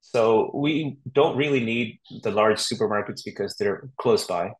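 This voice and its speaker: A man's voice: fairly low pitch, measured pace, poor audio. Easygoing middle-aged man from Honduras. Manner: relaxed, rambling with fillers and restarts. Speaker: real estate agent guest. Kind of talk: casual interview chat.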